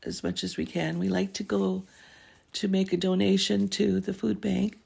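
A middle-aged woman from Canada, hosting a podcast and telling a family story in casual chat, clearing her throat as she loses her voice. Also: mid-range voice, little pitch movement, moderate pace, darkish tone. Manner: laughing, self-deprecating jokes. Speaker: podcast host.

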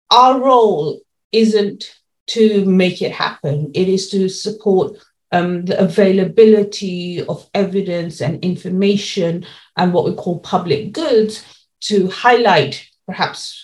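A woman giving explanatory interview answers as a policy lead, laying out points in measured, formal terms. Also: on the low side, a little mellow, low-quality recording.

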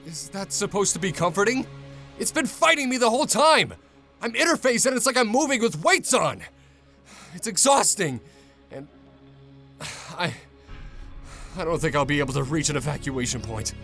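A man playing an exhausted, distraught knight pilot in a science fiction audio drama, speaking haltingly and with emotion. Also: sits high, expressive, a bit bright, faint music behind. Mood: frustrated, weary. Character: exhausted, distraught young knight pilot struggling with his machine.

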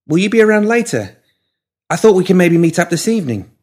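Man acting friendly young woman, lifted pitch, eager, breezy, sweetly menacing, audiobook character. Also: very animated, a little fast, darkish tone, husky, full character voice.

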